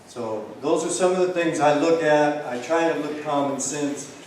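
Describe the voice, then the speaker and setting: A man's voice: on the high side, moderate pace, darkish tone. Echoey, distant man giving informal speech. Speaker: county council candidate. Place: high school hall public forum. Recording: echoey hall, distant mic, faint noise.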